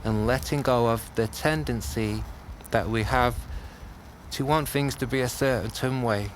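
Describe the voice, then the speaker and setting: A man teaching a guided meditation, speaking gently in short phrases with long pauses. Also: mid-range voice. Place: outdoors. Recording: outdoor class with clear background noise.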